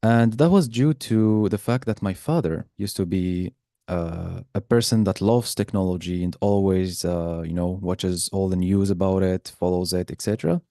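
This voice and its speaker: Low, mellow man presenting. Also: normal speed, no echo, clean studio sound. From Algeria. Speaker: guest presenter. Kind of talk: informal presentation. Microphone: video call.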